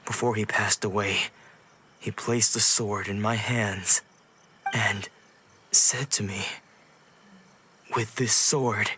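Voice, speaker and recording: A man's voice: lowish voice, fairly even intonation, pushed and bright, a little hiss or hum. Brooding, troubled swordsman. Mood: wistful, somber. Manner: brooding, reflective, measured. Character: weary, troubled swordsman who lost his memories. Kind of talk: video game voice acting. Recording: music behind the voice.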